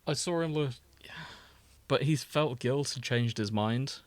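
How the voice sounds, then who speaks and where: A man co-hosting a casual podcast, speaking at a middling pitch. He sounds jokey and playful, as if bantering with friends. The sound is close and dry. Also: a little slow, dry recording. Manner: jokey, often laughing mid-sentence. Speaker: podcast co-host. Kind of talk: casual chat with playful reading aloud of fan fiction.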